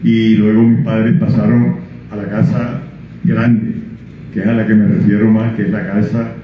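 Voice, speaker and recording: A man's voice: fairly low pitch, level tone, background noise. Older man, echoey lo-fi sound. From Colombia. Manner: halting, with many restarts and fillers when speaking freely. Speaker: author presenting his book. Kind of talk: reading prologue, then answering questions. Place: book presentation event. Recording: echoey room over a tinny lo-fi line.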